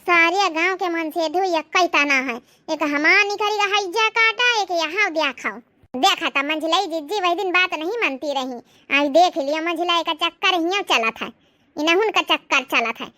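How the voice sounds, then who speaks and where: A woman's voice: very high voice, normal speed, bright, forceful tone, dry recording. A woman voicing dramatic, plaintive village housewives in a comedy cartoon, speaking with a rustic Awadhi accent. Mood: scandalized.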